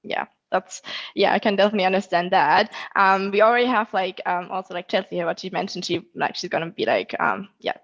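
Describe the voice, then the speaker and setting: A woman's voice: medium pitch, fairly even intonation, fairly quick, fairly bright tone, muffled recording. A woman moderating an online panel, hesitant, with many filler words. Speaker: moderator, former au pair. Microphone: video call.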